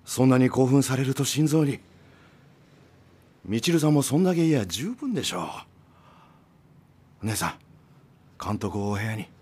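An actor playing a considerate, peacemaking man at a seaside inn in a radio drama, with a husky voice. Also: mid-range voice, lively intonation, moderate pace, pauses often, mellow, slight background noise, lightly acted. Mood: urgent, placating.

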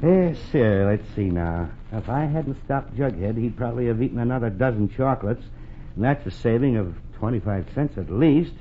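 A man's voice: medium pitch, expressive, normal speed, natural voice. Man acting a blustery penny-pinching dad, old radio. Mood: smug. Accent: American. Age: middle-aged. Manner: blustery, emphatic scolding. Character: exasperated, penny-pinching middle-aged suburban father. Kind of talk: 1940s radio comedy acting. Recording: muffled, noisy 1940s radio recording.

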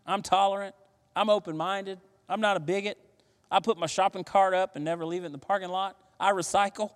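Pastor, male, fairly high voice; playful, slangy preaching; long hall reverb. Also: fairly bright tone. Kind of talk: sermon.

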